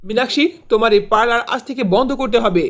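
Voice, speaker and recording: A woman's voice: a little high, fast talker, close to an everyday voice. A woman voicing a stern, bullying village chairman in a cartoon, with a threatening, commanding manner. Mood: angry, threatening.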